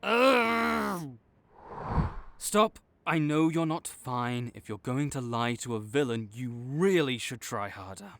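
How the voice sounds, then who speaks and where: A man playing a tsundere supervillain in an audio roleplay, halting and exasperated, teasing and reluctantly caring toward a rival. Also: medium pitch, lively intonation, a bit bright, no room sound. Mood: exasperated concern. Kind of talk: intimate audio roleplay addressed to the listener.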